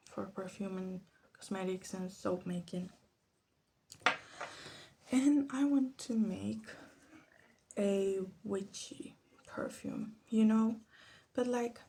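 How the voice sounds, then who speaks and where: A soft-spoken woman giving casual, rambling ASMR commentary, hesitant and drifting into whispers. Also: medium pitch, a little hiss or hum. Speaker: ASMR creator making perfume. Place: small room at home.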